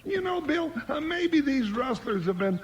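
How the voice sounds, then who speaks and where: An actor playing a comic, excitable, folksy cowboy sidekick in a 1950s radio western. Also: male voice, very high voice, measured pace, dull audio, exaggerated voice. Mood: easygoing. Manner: folksy, colloquial. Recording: noisy old 1950s radio recording.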